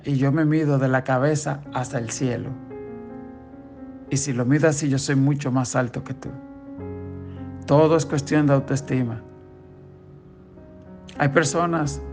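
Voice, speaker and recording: Dominican pastor preaching over soft guitar. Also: male voice, mid-range voice, gravelly. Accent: Dominican, southern. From southern Dominican Republic. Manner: reads scripture aloud and explains it in a conversational preaching tone. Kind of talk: daily devotional sermon.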